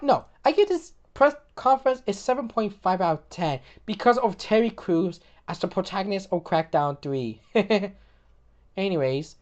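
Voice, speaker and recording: A woman hosting casual gaming commentary, opinionated, often repeating and restarting words, her voice getting hoarse. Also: medium pitch, a little mellow. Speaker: gaming video host.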